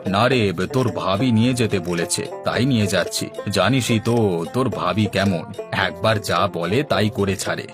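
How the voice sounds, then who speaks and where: A man's voice: fairly low pitch, unhurried, over music, natural voice. A man voicing an easygoing, henpecked village husband in a cartoon, speaking in a placating, weary way. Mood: friendly. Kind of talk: Bangla cartoon dialogue.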